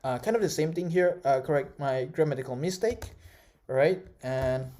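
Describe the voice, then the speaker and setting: A man's voice: a little high, normal speed, dark tone. A young man whose first language is Indonesian, teaching an online course over a screen recording in a casual way, full of fillers and "right?" checks. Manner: casual, many fillers and tag questions.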